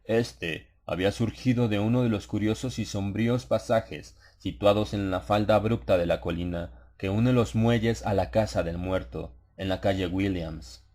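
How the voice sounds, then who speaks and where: A man reading a horror story as an audiobook narrator, in a measured, solemn, dramatized literary delivery. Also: lowish voice, a little mellow.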